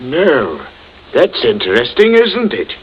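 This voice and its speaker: An actor playing a dry, unhurried police constable with a husky voice in a 1940s radio drama. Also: male voice, mid-range voice, wildly swooping pitch, a little hiss or hum. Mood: dry, probing. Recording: muffled lo-fi 1940s radio recording.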